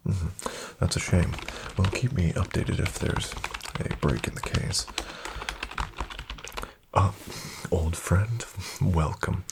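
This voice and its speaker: A man playing a suave, flattering financial advisor in a soft-spoken ASMR roleplay, hushed, courteous and unhurried, often near a whisper. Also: sits low, vocal fry, background noise, full character voice. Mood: businesslike, hushed, then welcoming, courteous. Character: suave, flattering male financial advisor to wealthy elite clients.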